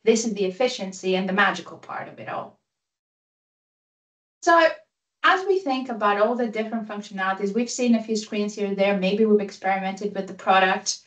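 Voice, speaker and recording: A woman's voice: mid-range voice, brisk. A woman in her thirties with a Greek accent presents over a video call, upbeat and eager, slipping in dry jokes. Her mid-height voice sounds a little far from the mic in an echoing room. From Greece and Italy. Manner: upbeat, enthusiastic, self-deprecating humor. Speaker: guest speaker, consultant.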